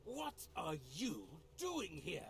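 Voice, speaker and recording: A man's voice: high-pitched, wildly swooping pitch, slow, creaky, full character voice. A man voicing a haughty, possessive villain in a fantasy game with an imperious, declaiming manner.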